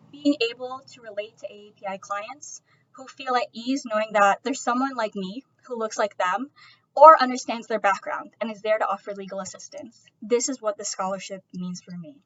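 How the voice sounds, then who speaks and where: A woman's voice: on the high side, a little fast, a bit bright, highs cut off. Young woman, earnest acceptance speech. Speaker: scholarship recipient, law student.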